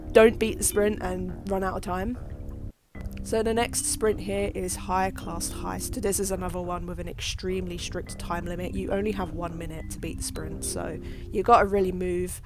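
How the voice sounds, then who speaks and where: A young woman hosting a casual gameplay tutorial, chatty and rambling and poking fun at her own play. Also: lowish voice. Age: young adult. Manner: chatty and rambling, self-deprecating. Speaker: gaming video host. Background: background music.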